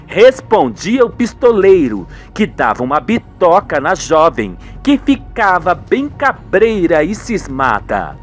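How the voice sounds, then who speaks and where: High-pitched, slow Brazilian male narrator. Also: huge pitch swings, faint music behind. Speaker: radio soap narrator. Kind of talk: dramatic scripted narration.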